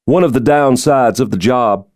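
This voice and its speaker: A man's voice: medium pitch, moderate pace, a little mellow, dry recording, clean studio sound, voice pushed up, close to an everyday voice. A man voicing a breezy, folksy middle-aged American President who jokes heartily, in an audiobook. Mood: wry. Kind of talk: audiobook character dialogue.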